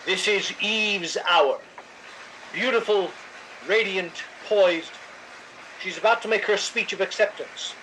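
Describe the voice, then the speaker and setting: A man's voice: high-pitched, a bit bright, a little hiss or hum, rough recording. A man playing a suave, detached theatre critic who narrates with irony in a 1950s radio drama style.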